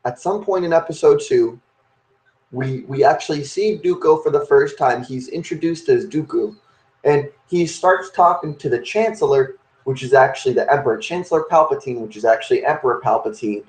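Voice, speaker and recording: A man's voice: mid-range voice, moderate pace, a little mellow. A young man hosting a podcast, rambling and restarting as he argues a point in casual chat. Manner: rambling, full of fillers and restarts while arguing a point. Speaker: podcast host. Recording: roomy echo.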